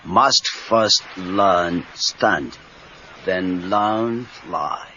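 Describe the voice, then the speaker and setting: An actor playing a gruff, wise, teasing older Japanese karate teacher in a read-along cassette drama, with a Japanese accent and clipped broken English. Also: male voice, low-pitched, extremely slow, pushed and bright, exaggerated voice. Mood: instructive. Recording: dull cassette tape with faint noise.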